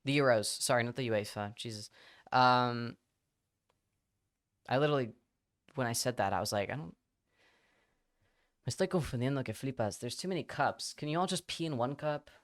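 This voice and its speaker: Smooth-voiced American-accented man chatting on stream. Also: medium pitch, normal speed, long pauses. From Spain. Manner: rambling and animated, slipping between English and Spanish. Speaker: livestreamer. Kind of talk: casual livestream chat, answering chat questions.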